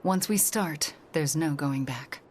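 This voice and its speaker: An assured sorceress in a video game. She gives instructions plainly in a somewhat low, focused voice, with faint ambience behind. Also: takes it slowly, a little mellow, slight background noise. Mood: focused, grave.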